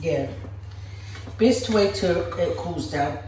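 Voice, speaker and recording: Slow, chatty woman in an echoey kitchen. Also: fairly low pitch, expressive, a little mellow, a little hiss or hum. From Samoa. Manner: chatty and playful, talks straight to viewers as "guys". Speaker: cooking vlogger. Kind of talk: casual cooking demonstration. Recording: echoey room.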